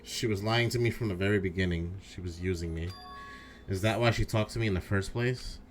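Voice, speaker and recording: Anguished teen boy lead for a game voiced by a man, lowish, earnest, slight background noise. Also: fairly quick, natural voice. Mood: hurt, brooding. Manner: earnest, emotional. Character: earnest, anguished teenage schoolboy protagonist. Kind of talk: fan voice acting of a video game.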